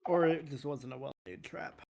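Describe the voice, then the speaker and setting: A woman's voice: deep voice, moderate pace, gravelly, mellow. A woman giving her opinion in an in-character roleplay discussion. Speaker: role-player in a court meeting.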